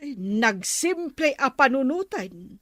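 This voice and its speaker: Babaeng gumaganap na usiserang tsismosa sa baryo, medyo mataas. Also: female voice, high-pitched, sing-song, takes it slowly, dry recording, heavily acted. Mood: teasing. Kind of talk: comedy radio drama acting.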